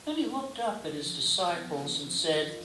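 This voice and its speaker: A man reading scripture aloud in a solemn liturgical style, with deliberate, declamatory phrasing and pauses between short phrases. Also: a little high, sing-song. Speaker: scripture reader. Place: roomy indoor church gathering space. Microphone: distant microphone. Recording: distant mic, echoey room, clear hiss.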